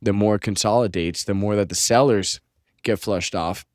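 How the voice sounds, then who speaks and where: A young man with a lowish voice and a slightly stuffy nose gives casual market commentary. He talks loosely, with plenty of fillers and restarts. The recording is dry and close, with no room sound. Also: steady tone, normal speed, darkish tone, no echo. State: stuffy, a bit under the weather. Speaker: finance channel host. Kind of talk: casual commentary on screen charts.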